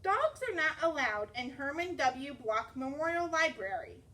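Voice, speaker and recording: A woman's voice: high voice, big pitch swings, measured pace, bright, forceful tone, recorded from a distance, full character voice. A woman voicing a small, very old librarian in a storybook, easily frightened, trembling and proper. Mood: hesitant.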